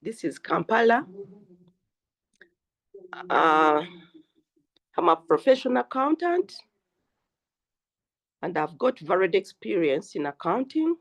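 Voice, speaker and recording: An older woman with a Ugandan English accent, slowly telling her own story as guest speaker on a group call. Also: fairly low pitch, big pitch swings. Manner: slow, earnest storytelling. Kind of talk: personal testimony on a group call.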